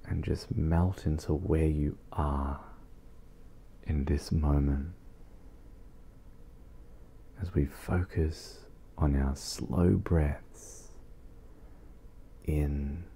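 Very deep, slow, breathy man. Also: fairly even intonation, a little hiss or hum. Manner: soft, soothing, breathy, with long pauses. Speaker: guided meditation host. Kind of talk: ASMR guided meditation.

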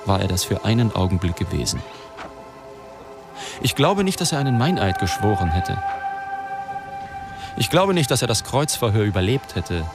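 An actor in a German radio drama playing a sceptical male reporter, measured and wry in dialogue and narration. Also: on the low side. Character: probing, sceptical male reporter telling his own story. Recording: music behind the voice.

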